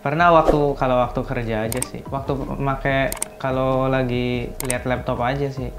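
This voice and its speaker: A man's voice: medium pitch, level tone, normal speed. A man, answering briefly and plainly. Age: late teens. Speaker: employee interviewee. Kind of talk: casual interview answers. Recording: music bed under voice.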